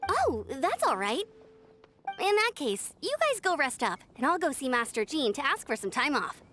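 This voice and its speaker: A woman's voice: sits high, very animated, moderate pace, sharp tone, soft background music, heavily acted. A woman voicing a friendly, caring, upbeat young female knight with a breezy manner in a video game. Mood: cheerful.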